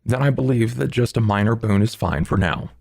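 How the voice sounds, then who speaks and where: A man playing a courtly, stern gentleman vampire, speaking in a measured, formal and proper way. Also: lowish voice, creaky, no room sound, clean studio sound. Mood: formal, businesslike.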